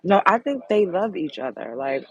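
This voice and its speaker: A woman hosting a casual livestream chat, reading out comments in a candid, blunt way, with a deep, sultry voice. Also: big pitch swings, moderate pace, slightly rough. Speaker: host.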